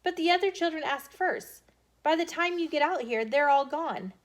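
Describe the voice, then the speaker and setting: A placating male schoolteacher from a children's storybook, read by a woman. The voice is fairly high, and he coaxes and persuades as if making a sale. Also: lively intonation, moderate pace. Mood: apologetic. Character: male school playground teacher, coaxing and placating.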